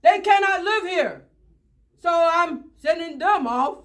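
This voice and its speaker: A woman's voice: extremely high pitch, animated, slow, a bit bright, exaggerated voice. A woman voicing an indignant, scolding storybook creature with a querulous, emphatic delivery.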